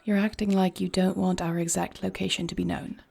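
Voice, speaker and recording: A woman playing a noble, devoted warrior queen in an intimate fantasy audio roleplay, husky and breathy, speaking in measured, earnest, formal phrases. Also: fairly low pitch, level tone, darkish tone, no room sound, exaggerated voice. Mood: concerned, thoughtful.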